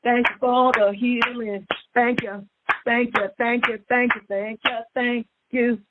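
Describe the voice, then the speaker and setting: A woman's voice: a little high, level tone, measured pace, heavy background noise. A woman hosting a psychic call-in radio show in a folksy, sing-song way, repeating her phrases. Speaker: radio psychic host taking callers. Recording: tinny phone-like radio feed, music behind.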